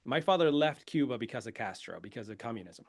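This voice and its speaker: A man's voice: mid-range voice, fast, creaky, dry recording, clean studio sound. Venezuelan-born man telling his story. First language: Spanish. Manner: energetic, proud, laughs often. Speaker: podcast guest. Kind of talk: casual interview storytelling.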